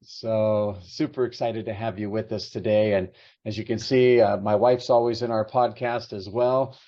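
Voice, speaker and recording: Man, podcast host, lowish voice, dull lo-fi call audio, upbeat, filler-heavy. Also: fairly even intonation, normal speed, dark tone. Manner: upbeat, hesitant with many fillers. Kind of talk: casual interview chat.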